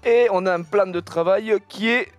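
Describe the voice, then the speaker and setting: A man's voice: sits high, moderate pace, faint music behind. A man in his thirties from southern France, teasing and laughing as a guest while cooking in a studio kitchen. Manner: playful, teasing, laughs often. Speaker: guest cook. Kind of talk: joking cooking chat.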